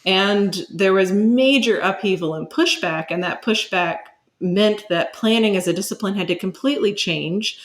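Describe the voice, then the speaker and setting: A woman's voice: mid-range voice, moderate pace, hardly any pauses. A woman speaking as an expert guest in a conversational interview, explaining thoughtfully and building her points step by step.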